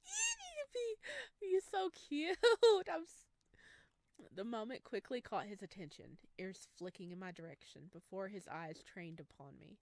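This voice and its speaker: A woman streaming a visual novel, reading narration aloud and reacting in an expressive, gushing way. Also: medium pitch, no echo. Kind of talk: game playthrough with read-aloud narration and excited reactions.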